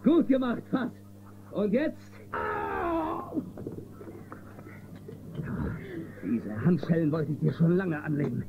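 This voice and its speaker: An actor playing a confident, commanding space-western marshal with a firm, authoritative manner in a children's radio play. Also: male voice, on the high side, sing-song, normal speed, creaky, background noise. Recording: muffled phone-like old cassette sound.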